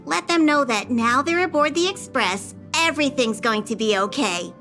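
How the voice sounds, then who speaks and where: Tiny game creature, very high bright voice, fretful train conductor, scolding, lively, faint music behind. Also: female voice, moderate pace. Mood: brisk, caring. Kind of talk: video game voice acting.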